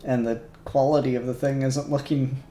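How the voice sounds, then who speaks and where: A man chatting casually on a livestream, muttering software settings aloud and chuckling as he works. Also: mid-range voice, little pitch movement, moderate pace, roomy sound, a little hiss or hum. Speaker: streamer doing 3D render work.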